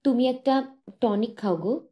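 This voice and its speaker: A woman's voice: on the high side, unhurried, warm tone, pitched above the performer's own voice, lightly acted. A woman voicing a young adoring wife who is playful, jealous and anxious, with a coaxing, tender way of speaking.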